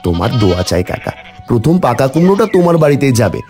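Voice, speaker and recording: A man's voice: medium pitch, big pitch swings, fast, darkish tone, soft background music, natural voice. A man voicing a humble, hardworking young farmer, tender and devoted, in a village cartoon. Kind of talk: cartoon story dialogue.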